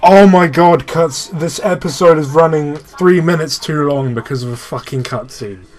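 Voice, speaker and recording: A man's voice: a little high, moderate pace, darkish tone. Exasperated gamer man, casual commentary. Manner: enthusiastic fan explaining, exasperated, swears. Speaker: gaming channel host and player.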